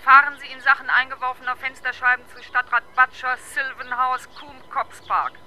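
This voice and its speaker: Very bright, formal police dispatcher. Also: female voice, high-pitched, fairly even intonation, moderate pace. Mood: brisk, official. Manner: formal, clipped. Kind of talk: 1970s German radio crime drama acting.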